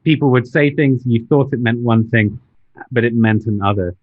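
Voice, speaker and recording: Encouraging podcast host, dull audio. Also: male voice, mid-range voice, moderate pace. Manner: encouraging, frequent backchannels. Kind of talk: interview, casual talk.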